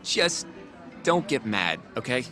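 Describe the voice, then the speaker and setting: Earnest, polite young male legal colleague, game drama, mid-pitched, articulate, music underneath. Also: very animated, takes it slowly, sharp tone, a faint crowd, heavily acted. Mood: earnest, coaxing. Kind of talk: English video game dub acting.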